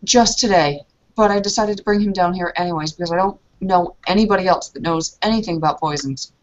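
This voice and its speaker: Quiet, subdued woman on a lo-fi mic. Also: on the low side, brisk. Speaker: player voicing her character in a tabletop game. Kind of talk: in-character roleplay with narration of her actions.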